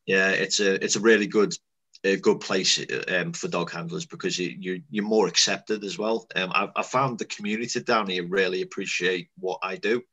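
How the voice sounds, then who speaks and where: A man's voice: low-pitched, steady tone, moderate pace, pushed and bright, husky. A young man with a Liverpool accent talking casually and matter-of-factly about his work as a security dog handler over a video call. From Liverpool, England. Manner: conversational, matter-of-fact, loose with grammar. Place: small room indoors. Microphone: webcam on video call.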